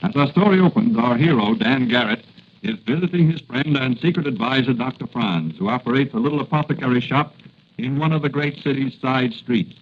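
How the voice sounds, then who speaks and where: A man's voice: medium pitch, fairly quick. A man narrating a radio drama in scripted 1940s style. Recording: dull noisy old radio recording.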